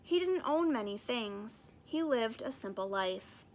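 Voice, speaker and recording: A woman reading a children's picture book aloud as an expressive storyteller. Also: a little high, a little slow, slight background noise. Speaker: storybook reader.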